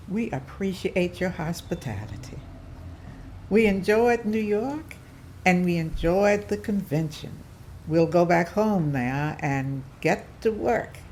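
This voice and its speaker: Woman reading a gravelly, slow old mother. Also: fairly low pitch, a little mellow, slight background noise. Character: elderly mother, gracious and determined. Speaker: table read actor. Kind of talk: table read.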